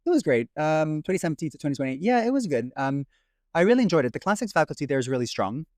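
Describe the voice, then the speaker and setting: A man's voice: fairly high pitch, sing-song, fast talker, no echo. Young man, American accent, grew up in Italy, classics researcher, casual interview talk, lots of ums, dry sound. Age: young adult. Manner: talks fluently with frequent ums and sort ofs. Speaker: guest, doctoral researcher in classics.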